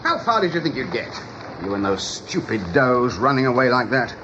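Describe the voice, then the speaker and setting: A man's voice: medium pitch, big pitch swings, fairly quick, sharp tone. A man playing a scornful, menacing rabbit patrol captain in a radio drama. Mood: scornful, threatening. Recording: dull, noisy 1980s radio recording.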